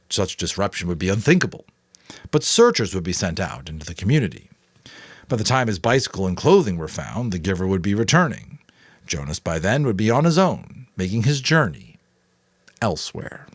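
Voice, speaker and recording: A man's voice: fairly low pitch, dry recording, studio quality. A man narrating an audiobook in a dramatic reading, with some casual commentary.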